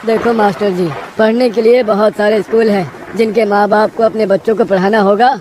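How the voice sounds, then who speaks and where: A scornful village headman, voiced by a woman, scolds and talks down in a medium-pitched voice. The delivery is cartoon story dialogue over faint background noise. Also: fairly even intonation, a little fast, darkish tone, natural voice. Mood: dismissive. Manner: scolding, condescending.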